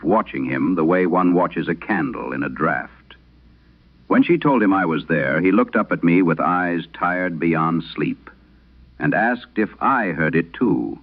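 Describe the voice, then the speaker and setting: Deep-voiced old priest played by an actor, grave and haunted. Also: male voice, phone-quality sound, full character voice. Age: older. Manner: solemn, measured storytelling. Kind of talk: dramatic scripted horror radio monologue.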